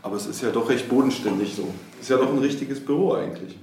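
A man's voice: mid-range voice, fast, a little mellow. A man co-hosting a podcast in casual, playful conversation. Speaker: podcast co-host. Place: indoor office. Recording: echoey, distant, faint background noise.

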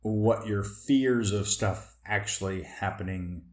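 A man's voice: fairly low pitch, takes it slowly, echoey room. A young man co-hosting a podcast, teasing and swearing in casual banter. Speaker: podcast co-host. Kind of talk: casual chat.

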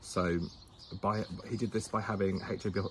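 A young man with a lowish voice reads his notes aloud outdoors, tripping over words and starting again. He teases his co-host between lines. Faint birdsong drifts in behind him. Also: steady tone, normal speed, fairly bright tone, slight background noise. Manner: teasing, stumbles while reading, restarts words. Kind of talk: casual chat, reading notes aloud. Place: outdoors in the sun.

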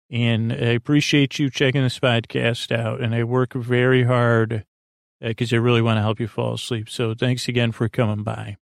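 A man with a creaky voice hosting a sleep podcast, delivering a meandering, hesitant bedtime monologue that drifts into tangents. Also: medium pitch, dry recording, studio quality. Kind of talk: rambling bedtime monologue meant to lull listeners to sleep.

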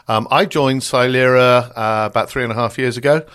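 A middle-aged man with a British accent answering interview questions as a guest expert, with hesitant, pause-filled phrasing. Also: mid-range voice, steady tone, a bit bright, clear, resonant tone. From Britain. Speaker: podcast guest, security strategist.

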